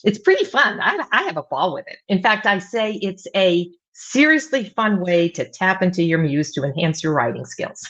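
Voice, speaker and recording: A woman's voice: lowish voice, sing-song, normal speed, hardly any pauses, fairly bright tone. A middle-aged woman who grew up in the United States, a writing coach talking casually as a guest, rambling with many fillers and restarts. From United States, mostly Virginia Beach. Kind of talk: casual interview talk.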